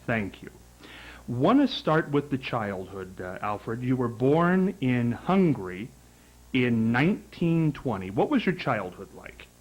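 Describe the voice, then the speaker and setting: A man from Ohio hosting his own talk show, introducing a guest and asking formal, measured questions. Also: medium pitch, very animated, highs cut off. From Ohio, USA. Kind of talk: interviewing a guest.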